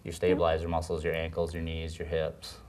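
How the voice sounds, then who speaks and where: A man in his thirties with a very low voice speaks as an interview guest. He explains his work informally, in halting phrases that often run as lists. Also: completely flat delivery, darkish tone. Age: 30s. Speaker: guest, gym owner and trainer. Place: small TV studio set, indoors.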